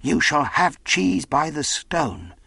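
A man's voice: on the high side, big pitch swings, slow pace, vocal fry, bright, forceful tone, husky, no echo, voice pushed up, full character voice. A man voicing an earnest, polite boy adventurer who speaks directly, in a storybook reading. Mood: cautious. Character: earnest, plain-spoken boy adventurer, quick to answer.

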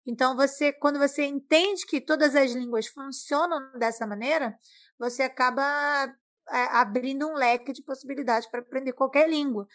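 A Brazilian woman with a high voice talks casually in a very dry-sounding recording. She is chatty and likes to tell things by example. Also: normal speed, dry recording. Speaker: talk participant. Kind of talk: casual chat.

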